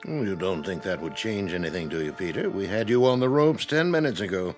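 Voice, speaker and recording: A self-assured club gentleman in a radio play, with lively swings of pitch. He argues persuasively and loves to speculate, with light music behind him. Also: brisk. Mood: teasing. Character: confident, argumentative gentleman club member, fond of speculation. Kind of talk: radio drama acting.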